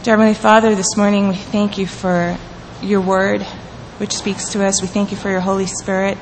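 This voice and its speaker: A woman's voice: mid-range voice, level tone, normal speed. Woman reading aloud in a church. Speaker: scripture reader and prayer leader. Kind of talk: scripture reading and prayer. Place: church sanctuary. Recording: church hall reverb with faint room noise.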